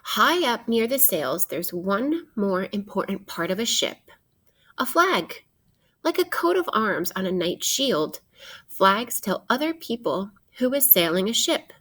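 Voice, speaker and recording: A woman teacher reading a children's nonfiction book aloud in an expressive, instructive way, addressing young listeners directly. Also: mid-range voice, a little slow.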